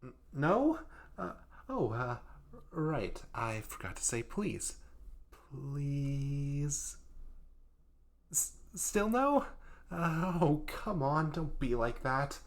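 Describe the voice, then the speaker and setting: Man acting a flustered, clingy young yandere guy, creaky voice. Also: fairly high pitch, sing-song, drawn-out words, full character voice. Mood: whiny pleading. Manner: flustered, stammering, pleading, melodramatic. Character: hapless, clingy young male yandere student, sweet and desperate. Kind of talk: comedic audio roleplay addressed to the listener.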